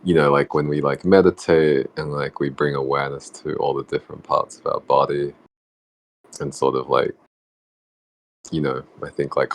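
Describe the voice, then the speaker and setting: A man in a casual, reflective group discussion, musing tentatively and haltingly as he works through his thoughts. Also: a bass voice, creaky. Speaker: book club discussion participant.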